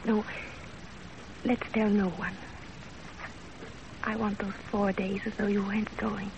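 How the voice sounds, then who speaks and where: An actress in 1930s radio drama style playing a gentle, dutiful young Japanese wife with earnest, formal phrasing. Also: female voice, mid-range voice, little pitch movement, takes it slowly. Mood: tender, resolute. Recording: dull, noisy 1930s radio recording.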